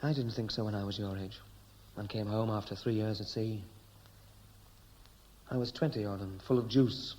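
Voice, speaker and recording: A man's voice: fairly low pitch, steady tone, normal speed, pauses often, darkish tone, natural voice, poor audio. Cynical homecoming sailor male actor, blunt and dryly sardonic, 1960s radio drama. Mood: cynical, guarded. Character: cynical Welsh seaman home after years away.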